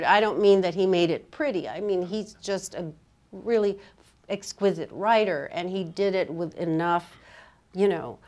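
A woman's voice: fairly low pitch, darkish tone. An older woman, a writer, talking casually and emphatically in an onstage literary conversation, repeating phrases to make her points. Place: on stage in an auditorium before an audience.

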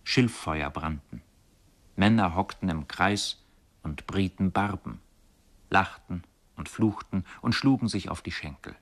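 A man reading literary radio narration in a measured, solemn manner. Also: sits low, expressive, fairly bright tone.

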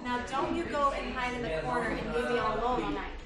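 A woman's voice: on the high side, quick pace, loud music behind the voice, lightly acted. An actress playing a sociable wife who pleads with her withdrawn husband to mingle. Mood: coaxing. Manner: pleading, coaxing.

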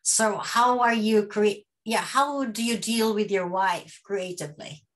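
A woman's voice: mid-range voice, a little slow. A middle-aged woman hosting a casual interview on a video call from home, playful and chatty, talking around her points. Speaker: host. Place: home room.

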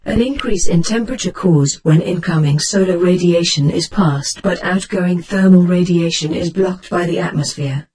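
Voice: mid-range voice, normal speed, hardly any pauses, darkish tone, husky, poor audio. A voice assistant reading out scripted encyclopedic answers.

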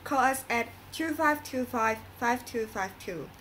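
A woman's voice: fairly high pitch, unhurried, fairly bright tone, a little hiss or hum. A woman playing an earnest, moralizing talk show host in a scripted radio drama, reading didactically.

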